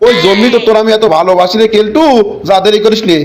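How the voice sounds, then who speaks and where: A man's voice: high-pitched, steady tone, fast talker, crowd noise, natural voice. A man voicing a crafty, greedy village boss in a comic cartoon, bossy, with a rural Bangladeshi Bengali accent. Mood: greedy, approving.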